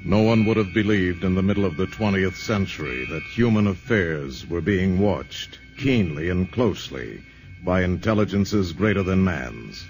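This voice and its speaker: An actor playing a grave, solemn narrator in a 1950s radio drama, speaking in a measured, portentous way. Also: male voice, deep voice, animated, a little mellow. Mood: grave, foreboding. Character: grave, solemn narrator of an alien invasion tale. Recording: vintage radio broadcast with music behind.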